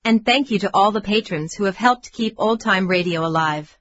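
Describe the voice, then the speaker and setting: Woman reading podcast announcement, mid pitch, dry n slightly dull sound. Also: moderate pace, a bit bright, no echo. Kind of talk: scripted announcement.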